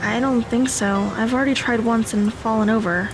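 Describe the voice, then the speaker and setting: A woman's voice: on the high side, fairly even intonation, normal speed, loud music behind the voice, close to an everyday voice, poor audio. A woman playing a mild, polite, injured teenage girl in an audio drama.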